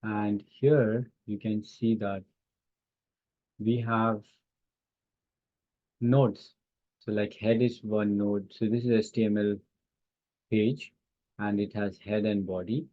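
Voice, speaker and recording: A man with a fairly low voice leads an informal live software lesson. He talks haltingly, thinking aloud while he demonstrates on screen. The call audio is dull and lo-fi. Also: flat delivery, dry recording. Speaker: instructor. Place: online class call with screen sharing.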